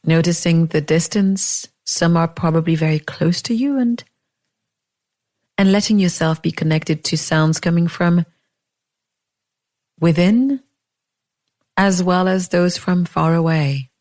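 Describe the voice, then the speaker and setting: A woman leading a guided meditation in a gentle, unhurried way, leaving long pauses between phrases. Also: fairly low pitch.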